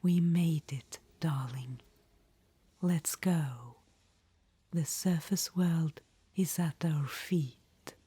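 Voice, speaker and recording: A proud, scheming undead witch queen, voiced by a woman, whispers right into the ear. The voice is airy and breathy with a dark, mellow tone, unhurried and low. The close mic is dry, with no room around it. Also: dry recording, exaggerated voice. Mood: coaxing. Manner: soft unvoiced whisper. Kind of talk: whispered ASMR audio roleplay.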